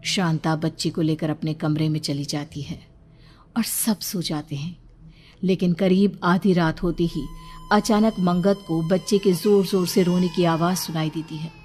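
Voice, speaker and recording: Lowish-voiced woman narrating a horror story. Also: fairly even intonation, unhurried, darkish tone, husky, faint music behind.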